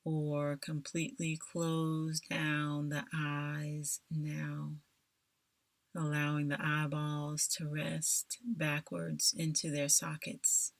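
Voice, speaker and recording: A woman leading a scripted guided meditation in a soothing, deliberate manner, leaving long pauses between phrases. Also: sits low, monotone, gravelly, no room sound.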